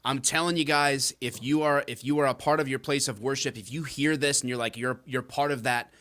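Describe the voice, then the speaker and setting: A man's voice: mid-range voice, fairly quick. A man hosting a podcast, joking around and emphatically plugging his guests in casual talk. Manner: energetic, joking, emphatic plugs. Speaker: podcast host.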